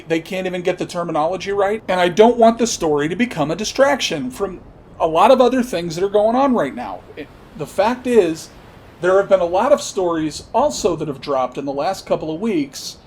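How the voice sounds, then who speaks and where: A man hosting a political commentary podcast, talking off the cuff in a blunt, profane, emphatic way with halting, repeated phrases. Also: sits high. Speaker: podcast host. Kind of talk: unscripted political commentary rant.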